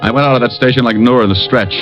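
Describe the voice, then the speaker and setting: A man's voice: medium pitch, fairly even intonation, racing, close to an everyday voice. A man playing a cocky, wisecracking American private detective in 1940s radio style, with a glib, needling manner. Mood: hard-boiled narrating. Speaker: lead actor and narrator. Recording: dull old radio recording, music.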